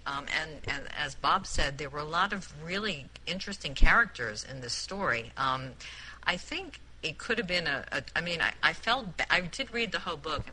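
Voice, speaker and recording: A woman giving an informal spoken book review in a group discussion, halting and repeating words as she makes emphatic points. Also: sits low, background noise. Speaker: book club member who picked the book.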